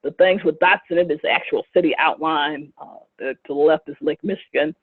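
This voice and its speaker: A woman giving a recorded lecture with slides, speaking in a measured way with frequent fillers and brief asides. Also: low voice, husky, no echo. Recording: tinny phone-like lo-fi recording.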